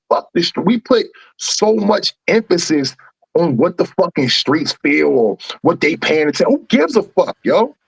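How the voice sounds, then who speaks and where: Rapper guest, young adult man, Baltimore accent, gravelly and husky, fairly high voice, animated and profane, dry close mic. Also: no echo, rough recording. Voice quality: fairly high voice for his size. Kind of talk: casual podcast conversation.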